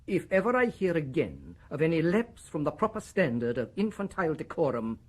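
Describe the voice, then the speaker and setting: An actor playing a stern, pompous middle-aged male director in a radio drama, husky and formal. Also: on the high side, wildly swooping pitch, a little fast, full character voice. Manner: reproving, then drifting into a hushed memory. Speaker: Director, a superior official. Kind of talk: 1990s radio drama acting.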